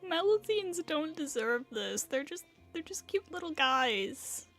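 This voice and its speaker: A woman's voice: sits high, big pitch swings, a little slow, fairly bright tone, faint music behind. A woman giving casual live commentary as she streams a video game.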